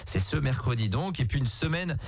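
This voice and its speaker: A man's voice: medium pitch, lively intonation, moderate pace, vocal fry, breathy edge, dry recording. A man with a French accent hosting a conversational local radio interview.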